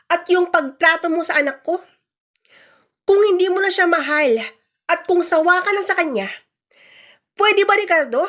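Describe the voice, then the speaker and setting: A woman voicing a stern older mother-in-law in a radio-style drama, scolding with sharp, reproachful questions. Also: very high voice, pitched above the performer's own voice, full character voice. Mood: indignant.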